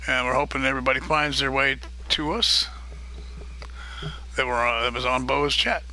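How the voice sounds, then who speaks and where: Gravelly, bright-voiced streamer going hoarse. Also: male voice, mid-range voice, normal speed, rough recording. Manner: chatty, greets viewers by name, chuckles often. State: voice getting a little hoarse. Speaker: streamer hosting a multiplayer farming game. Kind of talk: casual live-stream chat while gaming.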